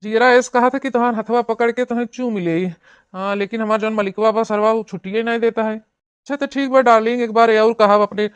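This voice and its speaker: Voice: medium pitch, steady tone, fairly quick, clear, resonant tone, no room sound, natural voice. An actor playing a lovesick, pleading young village brother-in-law in a comedy cartoon, gushing and wheedling in an Awadhi accent. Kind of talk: cartoon comedy acting in rural dialect.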